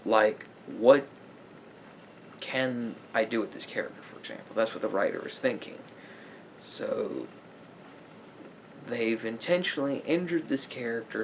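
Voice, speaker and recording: A man speaking softly as a therapist in an ASMR roleplay, in a measured, halting, explanatory way. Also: mid-range voice, creaky, a little hiss or hum, rough recording.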